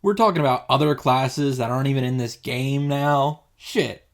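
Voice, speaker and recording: A man's voice: mid-range voice, normal speed. Informal man reacting to a visual novel. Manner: sweary, informal. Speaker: video game reaction host. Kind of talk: reading visual novel text aloud with casual commentary. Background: game music.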